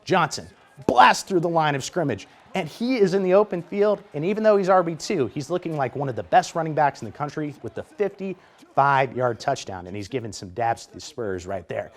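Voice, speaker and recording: An American man working as a sports reporter, reading scripted highlight narration with energetic play-by-play delivery. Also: fairly quick.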